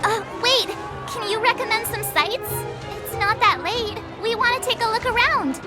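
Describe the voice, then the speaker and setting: A woman's voice: very high voice, normal speed, big-room sound, loud music behind the voice, a loud crowd. A woman voicing a bubbly, eager young girl space traveler with a perky manner in a video game. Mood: excited. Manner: perky, exclaiming. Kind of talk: English video game dub acting.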